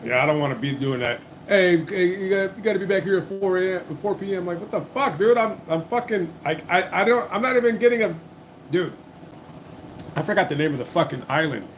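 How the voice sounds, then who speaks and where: A man chatting loosely on his own livestream, voice sitting a little high for a man. His talk rambles and circles back, full of restarts and curse words. Faint noise hums underneath. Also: expressive, a little fast. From United States. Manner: rambling, swears a lot, many restarts. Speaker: livestream host. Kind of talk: casual livestream chat.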